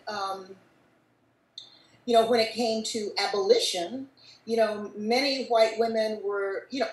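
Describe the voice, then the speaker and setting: A woman's voice: mid-range voice, far from the mic. Older American woman on a roomy video call. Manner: discursive, peppers speech with "you know". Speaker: panelist. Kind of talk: thoughtful panel discussion. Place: book-lined room at home.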